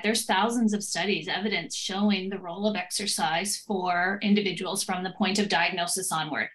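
A woman's voice: mid-range voice, fairly bright tone, rough recording. Confident woman explaining research. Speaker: professor and guest expert. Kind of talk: expert explaining in an interview.

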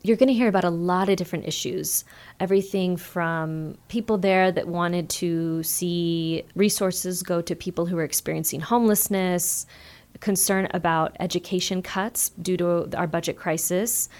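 A woman's voice: fairly low pitch, steady tone, normal speed, darkish tone. A woman community organizer speaking earnestly as a radio interview guest.

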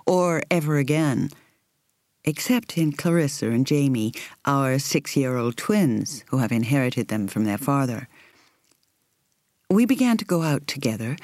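A woman reading an audiobook in the role of a reflective woman in her thirties recalling her romance, in a measured, intimate, expressive way. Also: very deep voice, dry recording, studio quality, heavily acted. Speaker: audiobook reader. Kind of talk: scripted first-person narration.

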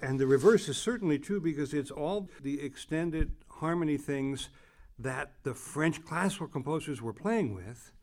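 A man's voice: on the high side, animated, normal speed, darkish tone. A man giving an enthusiastic, conversational explanation of music as he demonstrates at the piano. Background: piano playing.

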